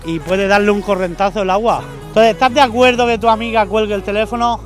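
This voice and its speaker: A man's voice: high-pitched, fairly even intonation, fast, faint music behind. A man, a beach reporter doing playful mock-official interviews outdoors with a handheld mic. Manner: playful fake-official scolding. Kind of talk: mock-serious street interviews.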